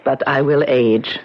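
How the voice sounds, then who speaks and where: An actress playing a young, fragile yet imperious ballerina with a Russian accent in a 1970s radio drama. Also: female voice, extremely low pitch, heavily acted. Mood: wistful. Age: young adult. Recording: muffled 1970s radio broadcast recording.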